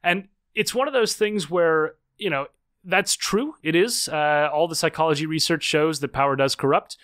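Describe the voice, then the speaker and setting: A man's voice: a little high, normal speed, fairly bright tone, no echo, clean studio sound. A man, an author and political scientist, answering interview questions in an articulate, earnest way with frequent fillers. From United States.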